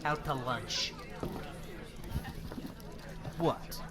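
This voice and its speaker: A woman's voice: very deep voice, drawn-out words, over music, exaggerated voice. A woman playing a sarcastic, grumbling office worker with an exasperated, mock-dramatic manner in an audio roleplay. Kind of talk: scripted audio roleplay acting.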